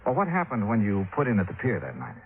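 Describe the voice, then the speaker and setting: A man's voice: on the low side, huge pitch swings, fast talker. An American man playing a dogged, wry insurance investigator in a 1950s radio drama, with a probing, conversational manner. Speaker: lead actor. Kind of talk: 1950s radio drama acting with first-person narration. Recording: phone-like vintage radio recording with music.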